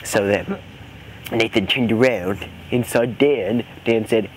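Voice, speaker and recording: Dude making up a silly scary story, stumbles and repeats, background noise on a homemade recording. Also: male voice, on the low side, slow. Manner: imitating a cartoon parody character's voice as a joke; stumbling, repeats words and phrases. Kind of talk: improvised comic horror storytelling among friends. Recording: noisy amateur home recording.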